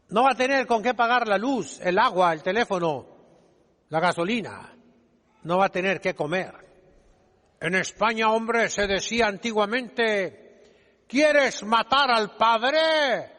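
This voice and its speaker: High-voiced man preaching, long hall reverb. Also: slow pace, long pauses, bright, forceful tone. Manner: emphatic, didactic, rhetorical questions with calls to repeat. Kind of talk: sermon.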